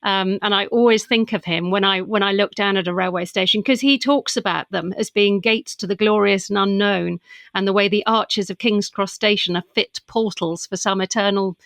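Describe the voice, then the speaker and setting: A woman's voice: medium pitch, fairly even intonation, normal speed, a bit bright, no room sound. Lady interviewing an author, chatty but hesitant questions, very dry room sound. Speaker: interviewer, podcast host. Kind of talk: conversational book interview.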